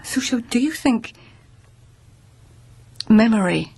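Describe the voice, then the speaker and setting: A woman acting in a radio drama as a gentle, loyal lady of the court, earnest and careful, with a softly husky voice. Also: a little high, expressive, slow, heavily acted. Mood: gentle, reflective.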